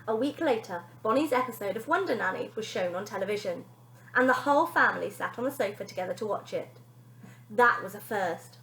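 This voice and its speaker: A woman teacher reading a children's story aloud in an expressive, dramatic storytelling style. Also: mid-range voice, fairly quick, gravelly, slight background noise. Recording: echoey room.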